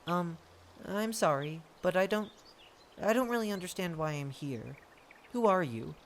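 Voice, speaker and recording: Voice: mid-range voice, a little slow, slight background noise, pitched above the performer's own voice, lightly acted. A performer voicing a timid, bewildered human scout in an audio drama, hesitant and apologetic. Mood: confused.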